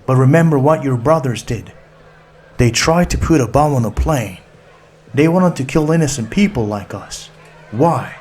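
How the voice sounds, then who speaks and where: A man's voice: mid-range voice, animated, moderate pace, a little mellow, husky, pitched above the performer's own voice. A man playing a middle-aged father held hostage, protective and argumentative, in an audiobook thriller. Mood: arguing, pleading.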